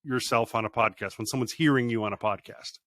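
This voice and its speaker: A man's voice: mid-range voice, fairly quick, a bit bright, no echo. A man acting as interviewer on a podcast who gives praise and stammers at the start of his sentences. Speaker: guest host, leadership coach.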